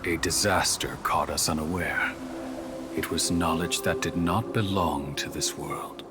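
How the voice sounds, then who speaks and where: An actor playing a solemn old temple priest at the end of his life, telling a legend gravely and reverently in a video game. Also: deep voice, fairly even intonation, a little slow, very noisy. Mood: solemn, weary. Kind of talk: video game cutscene monologue.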